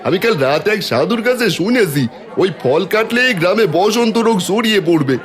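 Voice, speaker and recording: A man's voice: high-pitched, lively intonation, a little fast. A man voicing a sly, greedy village conniver in a cartoon, insinuating and exclamatory. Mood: rabble-rousing. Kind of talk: Bengali cartoon voice acting.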